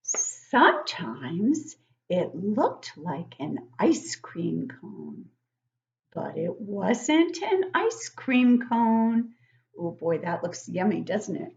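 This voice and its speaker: Slow, very lively older woman reading aloud. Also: medium pitch, vocal fry, highs cut off, slight background noise. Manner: expressive, pausing to ask the listeners questions. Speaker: teacher reading a picture book to children. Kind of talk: read-aloud for young children with questions and comments. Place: living room at home, indoors.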